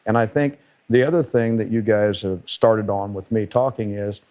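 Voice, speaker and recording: A man's voice: on the low side, fairly even intonation, moderate pace, darkish tone. A middle-aged man with a powerful voice, a football defensive coordinator talking casually on a podcast and stressing points by repeating words.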